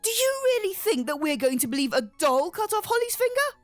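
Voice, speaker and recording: A woman's voice: very high voice, big pitch swings, fairly quick, no room sound, faint music behind. A woman playing a harsh, domineering guardian in a horror radio drama, scolding and commanding. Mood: incredulous.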